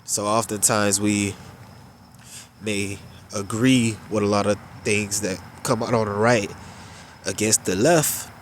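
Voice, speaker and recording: A man with a stuffed-up, nasal voice giving unhurried religious commentary, drawing out his phrases with filler pauses. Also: fairly low pitch, fairly even intonation, a little hiss or hum.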